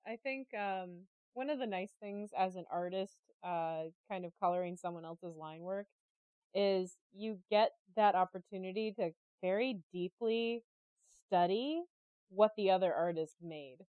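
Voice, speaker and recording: A woman's voice: medium pitch, a little slow, clear, resonant tone, no echo, phone-quality sound. A woman chatting casually as an interview guest, with a giggly, easily amused manner. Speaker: interview guest, artist and creative director.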